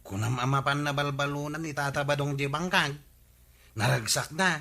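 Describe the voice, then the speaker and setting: A man's voice: fairly high pitch, moderate pace, bright, forceful tone. A man playing an inquisitive, teasing village chief in a radio comedy drama, with an Ilocano accent.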